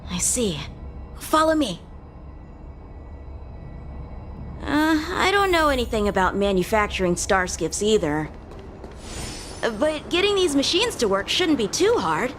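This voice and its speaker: Game role: eager teen boy swordsman, high, very lively pitch, candid, music underneath. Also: normal speed. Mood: helpful. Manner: boyish, candid, expressive. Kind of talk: English game voice acting. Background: background music.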